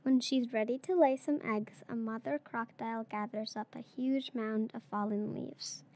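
A woman's voice: on the high side, steady tone, normal speed, a little mellow. A girl of about eight or nine, a third-grade pupil, reading a picture book aloud. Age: child, around eight or nine.

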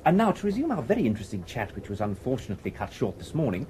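An actor playing a verbose, unflappable gentleman impostor in a radio comedy, elaborately polite and droll, with an upper-class English accent. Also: medium pitch, wildly swooping pitch, rapid-fire. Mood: breezy, confident. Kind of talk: 1980s radio comedy acting. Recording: noisy old radio drama recording.

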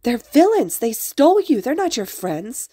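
A woman's voice: sits high, very animated, moderate pace, dark tone, breathy edge, no room sound, pitched above the performer's own voice, full character voice. A woman voicing a distraught, insistent teenage girl hero in a story, exclaiming emphatically. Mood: alarmed, insistent.

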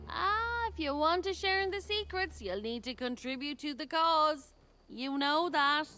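A woman's voice: sits high, animated, a little slow, pushed and bright, exaggerated voice. An actress voicing a wry, streetwise, mercenary woman treasure hunter in a fantasy video game, talking in a sly, colloquial way. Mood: sly, bargaining.

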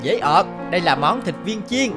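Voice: mid-range voice, lively intonation, moderate pace, lightly acted. A performer playing a childish schoolboy, sulky but eager, in cartoon dialogue. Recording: loud music under the voice.

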